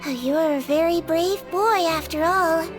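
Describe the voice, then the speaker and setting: A woman voicing a small, gentle, childlike creature nurse in a video game, with a sweet, coaxing bedside manner. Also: very high voice, measured pace, over music. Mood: coaxing, matter-of-fact. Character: small gentle Melusine head nurse, kind and playful, childlike.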